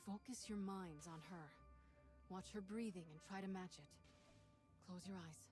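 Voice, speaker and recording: A woman playing an earnest, steadfast Jedi in a video game, speaking in a measured, guiding manner. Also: on the low side, darkish tone, close to an everyday voice. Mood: calm, guiding. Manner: measured, soothing instruction. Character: female Jedi guide, earnest, calm, steadfast. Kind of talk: video game cutscene acting. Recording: music clearly behind the voice.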